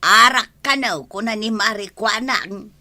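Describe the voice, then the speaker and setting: A woman's voice: a little high, unhurried, sharp tone. A woman with an Ilocano accent playing an exasperated village wife in a comedy drama. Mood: complaining. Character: exasperated village wife complaining about her husband.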